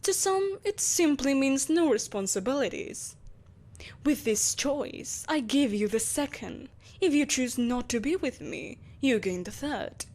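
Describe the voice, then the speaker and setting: A woman's voice: high-pitched, lively intonation, a little slow, darkish tone. A woman playing an imperious, seductive evil empress in a scripted roleplay audio drama, speaking in a haughty, theatrical, coaxing manner. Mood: coolly coaxing. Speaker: voice actor.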